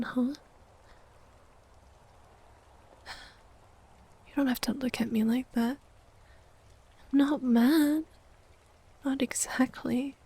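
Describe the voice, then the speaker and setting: A woman's voice: fairly high pitch, vocal fry, mellow, husky, full character voice. A woman playing a jealous, needy, wounded girlfriend in an ASMR roleplay audio drama, sighing and often dropping toward a whisper. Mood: hurt, guilt-tripping.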